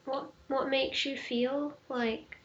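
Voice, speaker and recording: Higher woman's voice, bright and tense, curious, tentative, muffled lo-fi audio. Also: flat delivery, slow pace. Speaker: podcast participant. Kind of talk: casual group discussion. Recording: dull lo-fi recording.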